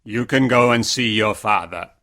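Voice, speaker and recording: A man playing an ugly but kind, sorrowful Beast in a simple fairytale, speaking earnestly and deliberately. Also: on the low side, sharp tone. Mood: sad, solemn. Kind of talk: fairytale storybook dialogue for English learners.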